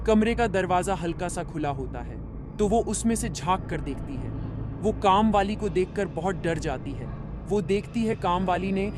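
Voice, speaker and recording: A narrator telling a cartoon horror story in clear, even storybook narration. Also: medium pitch, moderate pace, background noise. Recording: loud background music.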